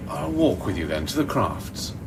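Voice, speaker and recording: A man's voice: mid-range voice, very animated, normal speed, vocal fry, noisy background. A man acting in a radio play as a wry, concerned scientist on a space station, talking in a musing, probing way. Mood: worried, insistent.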